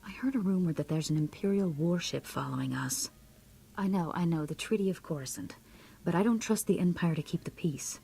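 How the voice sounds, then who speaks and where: Husky, anxious starship passenger. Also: female voice, lowish voice, brisk, mellow. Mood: nervous, polite. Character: anxious, polite female starship passenger, earnest and hopeful. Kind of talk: video game voice acting. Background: game music.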